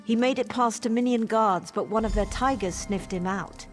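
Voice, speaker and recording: An actress playing a businesslike female scout in a fantasy game, calm, formal and measured. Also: a little high, soft background music, lightly acted. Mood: calm, resolute. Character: prudent, businesslike female military scout giving orders. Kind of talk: fantasy video game dialogue.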